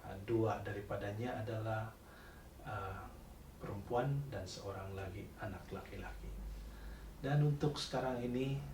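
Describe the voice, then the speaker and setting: A Sabahan man in his forties delivers a devotional talk in a measured, deliberate voice with unhurried pauses. The mic sits well away from him in a reverberant room. A steady layer of background noise runs underneath. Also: on the low side, recorded from a distance. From Sabah, Malaysia. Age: 40s. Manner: measured, deliberate, pausing with "ah" fillers. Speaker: church youth and chaplaincy director. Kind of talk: devotional talk for a spiritual retreat.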